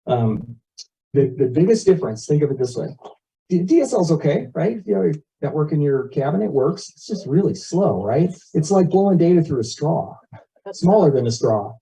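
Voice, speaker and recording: A man's voice: fairly high pitch, very animated, a little fast, gravelly, dark tone. A man giving an informal, folksy presentation to neighbours at a meeting, explaining things with plain comparisons. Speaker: community board member presenting at a meeting. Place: neighbourhood meeting, relayed over video call. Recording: muffled, distant microphone, meeting audio.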